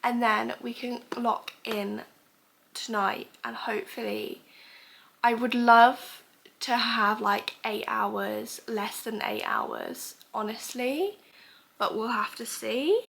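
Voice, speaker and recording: A woman's voice: fairly high pitch, takes it slowly, creaky, a bit bright. A young woman chatting casually in a reading vlog, stuffy with a cold and rambling as she thinks aloud. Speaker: book vlogger.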